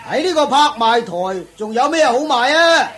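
A man's voice: very high voice, animated, moderate pace, fairly bright tone, slight background noise. A man voicing a middle-aged auctioneer who chuckles and lectures in a knowing, chatty way in a radio play. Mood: amused.

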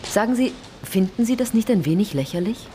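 An actress playing a wry, probing narrator and investigator in a radio drama, speaking in a dry, insistent way. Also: female voice, mid-range voice, lively intonation, fairly quick, noisy background, natural voice.

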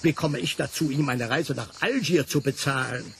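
Gravelly, pompous, gossiping Frenchman. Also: medium pitch, normal speed, a bit bright, distant mic, slight background noise. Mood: indignant gossip. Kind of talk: German radio play acting.

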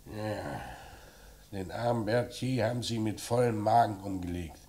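An actor playing a dry, probing police commissioner in a German radio crime play, questioning in a terse deadpan way. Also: male voice, lowish voice, measured pace, natural voice. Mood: businesslike, wry. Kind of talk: mid-century German radio play acting. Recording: noisy vintage radio drama recording.

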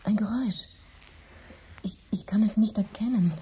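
Actress as frightened, soft-toned, haunted woman. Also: medium pitch, slight background noise, natural voice. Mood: frightened, hushed. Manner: halting, breathy whispers and repeated words. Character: terrified, fatalistic woman trapped by eerie forces. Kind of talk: German radio drama acting.

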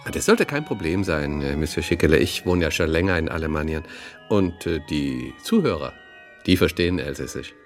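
An actor playing a polite, eager radio interviewer from Westphalia in a radio play, courteous and conversational. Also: male voice, very deep voice, animated, a little fast, soft background music. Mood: courteous, probing.

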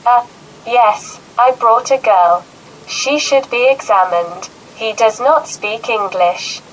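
A woman's voice: on the high side, slow pace, slight background noise, low-quality recording. A woman voicing a matter-of-fact middle-aged male sheriff in an audiobook.

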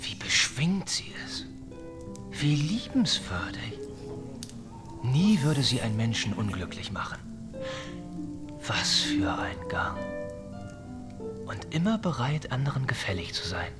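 An actor playing an earnest, smitten young English gentleman of the Regency in a German radio drama, speaking gently. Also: mid-range voice, sing-song, slow pace, pauses often. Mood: hushed adoration. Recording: music behind the voice.

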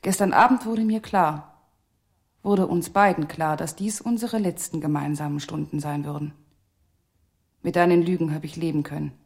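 An actress playing a living female ventriloquist's puppet in a radio crime drama, sorrowful and resolute, confessing solemnly, often in a breathy voice. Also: low voice, fairly even intonation, normal speed, darkish tone. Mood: sad, resolute.

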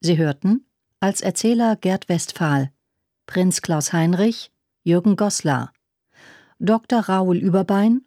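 A woman radio announcer reading scripted credits in a formal, measured, matter-of-fact way. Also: lowish voice, dark tone, dry recording, clean studio sound.

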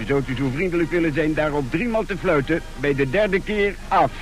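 An actor in an old radio comedy playing a stiff, stubborn, quarrelsome citizen who speaks in a clipped, pompous, formal way. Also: male voice, fairly high pitch, fairly quick, close to an everyday voice. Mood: combative. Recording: old radio play, music behind.

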